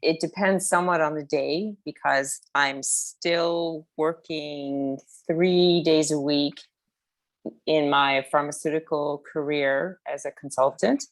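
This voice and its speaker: A woman guest casually answering personal questions in a halting, thoughtful way, repeating words as she goes. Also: low voice, steady tone, dry recording, poor audio. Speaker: pharmaceutical consultant, interview guest.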